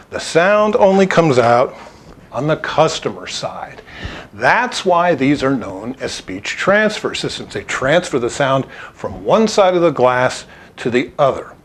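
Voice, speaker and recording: Animated higher-pitched man presenting an explainer. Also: unhurried, slight background noise. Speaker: presenter of a product overview video. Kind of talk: scripted explainer narration to camera.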